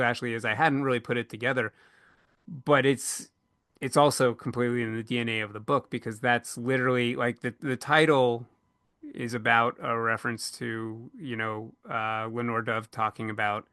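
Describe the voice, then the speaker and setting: A man co-hosting a casual panel discussion, thinking aloud in a halting way and often repeating words as he goes. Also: mid-range voice, bright, forceful tone, no echo, studio quality. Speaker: podcast co-host moderating a panel.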